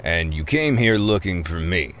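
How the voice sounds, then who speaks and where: Aging ex-convict thief, low voice, lively swooping intonation, skeptical, slangy, audio play. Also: male voice, normal speed, a little hiss or hum. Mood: wry, skeptical. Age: 70s. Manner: hesitant, slangy, wry. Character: world-weary 75-year-old male ex-convict thief, folksy, skeptical. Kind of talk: audio drama acting.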